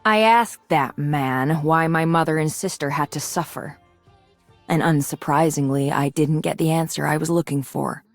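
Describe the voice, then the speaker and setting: A woman voicing a mature, resolute and idealistic swordswoman in a video game, composed and earnest in her measured delivery. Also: low voice, no room sound, faint music behind. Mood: solemn, reflective. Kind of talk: scripted video game character lines.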